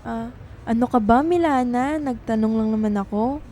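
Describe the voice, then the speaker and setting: A woman's voice: a little high, moderate pace, warm tone, lightly acted. A woman playing a shy, sincere young writer who is easily flustered, in a radio drama. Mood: friendly, bashful.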